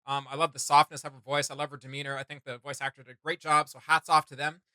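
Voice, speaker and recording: Male reaction co-host, somewhat high and strikingly bright voice. Casual, rambling, enthusiastic fan chat. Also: flat delivery, fast talker, smooth, dry recording.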